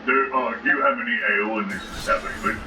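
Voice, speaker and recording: A man's voice: mid-range voice, fairly even intonation, fast talker, heavy background noise. A man playing a tabletop game, casually improvising in character. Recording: roomy distant mics, loud music behind.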